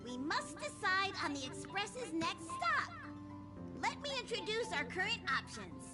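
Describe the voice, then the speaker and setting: A woman's voice: extremely high pitch, sing-song, measured pace, over loud music, full character voice. A woman voicing a small, cute mascot creature who conducts a train, speaking formally and earnestly in a video game. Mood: businesslike. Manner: formal, officious, speaks of self in third person. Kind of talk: video game character acting.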